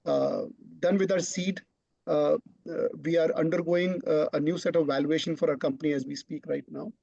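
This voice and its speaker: A man's voice: fairly high pitch, fairly even intonation, normal speed, gravelly, warm tone, husky, no echo. A man, a startup chief executive, explaining his company's plans in an online question session, often repeating words as he thinks. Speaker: startup CEO presenting. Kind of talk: online business Q&A answer. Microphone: video call. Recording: dull lo-fi online call audio.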